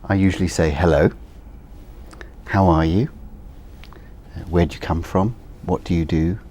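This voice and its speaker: A middle-aged man, answering interview questions for a course recording in a measured, thoughtful way. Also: sits low, fairly even intonation, darkish tone, slight background noise. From England. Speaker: interviewee, business owner.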